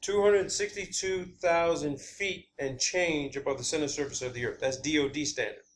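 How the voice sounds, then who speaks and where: A man hosting a video, giving a rambling, unscripted explanation of his own theories in a halting, emphatic, blunt and casual way, repeating key words. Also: a little high. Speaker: video channel host. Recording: clear background ambient noise.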